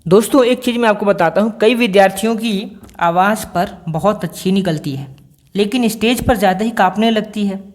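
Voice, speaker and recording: A man's voice: sits high, a little fast. A man giving a spoken singing lesson, patient and explanatory, repeating key points and posing rhetorical questions to his students. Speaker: singing teacher.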